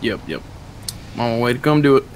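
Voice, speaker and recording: A man's voice: mid-range voice, sing-song, fast, slight background noise. A man chatting casually in online game role-play as an officer, folksy and informal.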